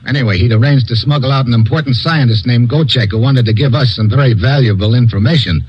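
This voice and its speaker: An actor playing Steve, a blunt, wry investigator, in a 1950s radio drama. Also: male voice, medium pitch, quick pace, breathy edge, close to an everyday voice. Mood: accusing. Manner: clipped, wry tough-guy delivery. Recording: dull old radio broadcast.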